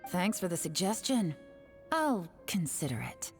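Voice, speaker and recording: A woman's voice: fairly low pitch, big pitch swings, a little slow, soft background music. A woman voicing a cool, self-assured female agent in a video game, composed and dry with a subtle menace. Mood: dry, threatening.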